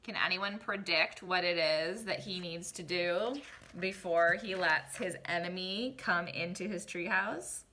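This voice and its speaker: A woman reading a picture book aloud expressively, like a teacher, pausing to explain and question young listeners. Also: lowish voice, sharp tone, a little hiss or hum. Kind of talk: expressive children's read-aloud.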